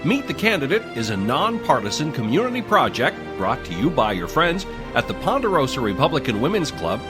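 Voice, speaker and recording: A man's voice: mid-range voice, sing-song, fairly quick. A man reading a scripted show introduction in an upbeat delivery. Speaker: show announcer. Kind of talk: scripted announcement. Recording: music bed under voice. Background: music.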